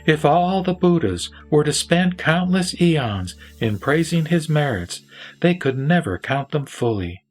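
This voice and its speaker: A man reciting verse scripture as a dramatic scripted reading, with solemn, measured phrasing and pauses. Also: a little high, big pitch swings, a little mellow. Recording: background music under the voice. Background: music.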